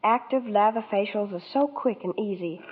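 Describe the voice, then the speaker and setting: A woman's voice: mid-range voice, moderate pace, husky. A woman from New York, a guest starlet in a 1940s radio interview, speaking sweetly and politely. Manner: sweet, polite scripted chat. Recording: tinny 1940s radio broadcast.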